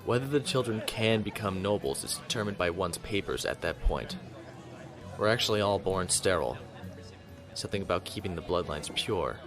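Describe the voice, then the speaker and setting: A man acting a composed young noble naval lieutenant in a science fiction audio drama, formal and measured, coolly defiant. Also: low voice, animated, music in the background. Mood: composed, dry.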